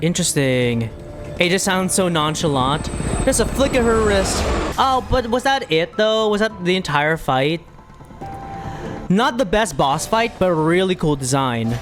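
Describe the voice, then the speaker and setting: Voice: mid-range voice, sing-song, a little slow, music in the background. A game streamer giving casual live reactions to a playthrough, exclaiming theatrically and using folksy slang. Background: game soundtrack and sound effects.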